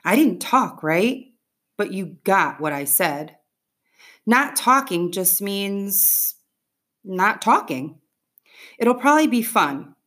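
A woman's voice: medium pitch, sing-song, slow pace, long pauses, fairly bright tone, heavily acted. A woman reading the part of a bossy, eager fifth-grade boy rallying his friends in an audiobook. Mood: confident, urging.

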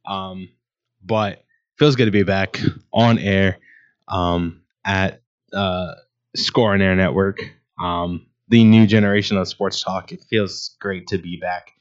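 A man's voice: sits low, steady tone, slow. A man hosting his own sports talk show, rambling casually with many ums and restarts. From Ohio, USA. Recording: dull lo-fi sound.

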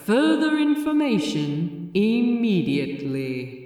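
A woman's voice: medium pitch, sing-song, slow pace, warm tone, heavy reverb, in a large hall, far from the mic, faint music behind. A woman voicing a cool, imperious, dryly mocking divine messenger in an audiobook story, commanding and brusque. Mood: stern, formal. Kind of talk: dramatic fan fiction audiobook reading.

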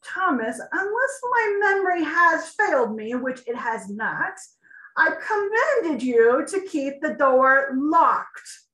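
A woman's voice: sits high, very animated, slow pace, bright, forceful tone, pitched above the performer's own voice, full character voice. A haughty storybook king speaks with commanding indignation, as a woman reads his lines. The voice rises sharply and rings in an echoey room. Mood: indignant. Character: stern, haughty middle-aged male king.

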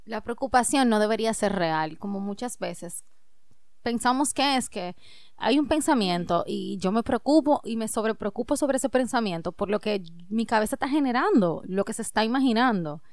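A woman's voice: fairly high pitch, lively intonation, moderate pace, darkish tone, dry recording. Female co-host, easygoing and talkative, close dry sound. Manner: chatty, addresses listeners directly, laughs easily. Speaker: podcast co-host. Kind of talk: casual chat and reading her own writing aloud.

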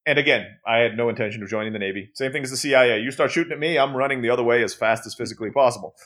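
A quick-talking man with an American-sounding accent, though his first language is Turkish. He chats freely as a podcast guest and laughs often. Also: mid-range voice, fairly bright tone. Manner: chatty, laughs easily. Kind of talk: casual interview chat.

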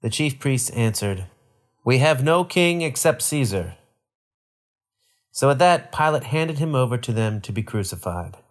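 A man reading a Bible narrative aloud in a solemn, measured and formal way. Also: mid-range voice, expressive. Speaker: scripture reader.

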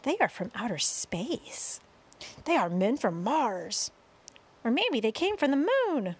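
A woman voicing frightened, astonished onlookers, policemen and firemen in a storybook, shouting excitably. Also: on the high side, slow pace, husky, full character voice.

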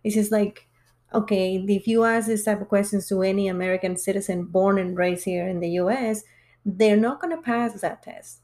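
A woman's voice: medium pitch, fairly even intonation, normal speed, darkish tone. A woman in her forties from Mexico, a podcast guest talking casually and earnestly explaining her experiences at length. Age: 40s. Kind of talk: casual chat.

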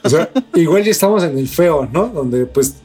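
A man's voice: on the high side, animated, normal speed, darkish tone, breathy edge. A man with a Mexican accent speaks as a podcast co-host in a casual, conversational style. His language is loose and profane, full of street slang. Music plays behind his voice. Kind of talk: casual news commentary on strange stories.

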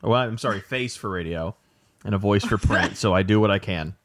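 A man's voice: lowish voice, brisk. A man co-hosting a casual podcast, running a trivia game with dry, self-deprecating jokes. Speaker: podcast co-host, quizmaster. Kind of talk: joking game-show hosting.

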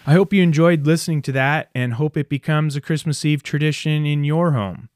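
A man hosting a podcast, talking in a personal, reflective way with halting, sincere delivery. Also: fairly high pitch, a little mellow, faint music behind. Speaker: podcast host. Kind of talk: personal, reflective solo talk.

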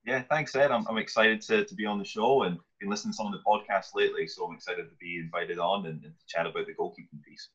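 A man with a Scottish accent, a guest coach answering interview questions thoughtfully with frequent pauses. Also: low voice, fairly even intonation, fairly bright tone, husky. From Scotland. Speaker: podcast guest, goalkeeper coach. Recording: muffled lo-fi audio.

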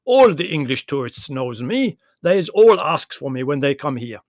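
A big Greek taxi driver from a storybook, gruff and boisterous. He pushes his broken English out loudly and emphatically, boastful but eager to help. The sound is dry. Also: a little high, big pitch swings, moderate pace, dry recording, pitched above the performer's own voice. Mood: boastful, jovial.

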